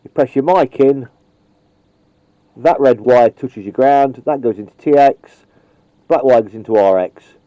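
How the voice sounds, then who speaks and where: A man's voice: medium pitch, measured pace, long pauses, no echo. Rambling, chatty man on lo-fi audio. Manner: rambling, chatty, with frequent ums and mild swearing. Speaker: radio hobbyist demonstrating wiring. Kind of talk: casual how-to demonstration. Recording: dull, lo-fi home video sound.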